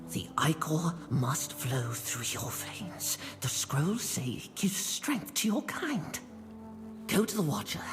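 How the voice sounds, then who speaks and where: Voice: mid-range voice, long reverb tail. An actor voicing a nervous, apologetic scholar who stammers and hesitates, over game music.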